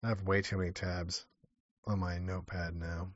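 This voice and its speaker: A man's voice: low-pitched, little pitch movement, moderate pace, dry recording, lo-fi sound. A man hosting a livestream, reading viewer comments and riffing in a rambling, sardonic way. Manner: rambling, sardonic, many restarts and profanity. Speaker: livestream host.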